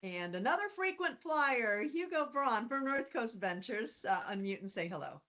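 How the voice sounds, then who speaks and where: A woman hosting an online pitch meeting, welcoming panelists in an upbeat manner. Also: very animated, moderate pace, fairly bright tone. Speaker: meeting host.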